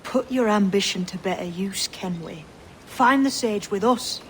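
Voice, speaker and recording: A woman's voice: mid-range voice, a little slow, fairly bright tone, slight background noise, natural voice. An actress playing a tough, principled woman pirate in a video game, pointed and stern in her delivery. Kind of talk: scripted video game dialogue.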